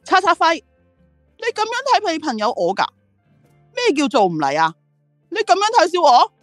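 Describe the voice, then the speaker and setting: A woman's voice: very high-pitched, wildly swooping pitch, moderate pace, no echo, soft background music, full character voice. A driven woman who runs an ad agency in a radio drama. She speaks with a Hong Kong Cantonese accent and very varied intonation, by turns proud and reflective. The recording is dry. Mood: indignant. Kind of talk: radio drama dialogue and monologue.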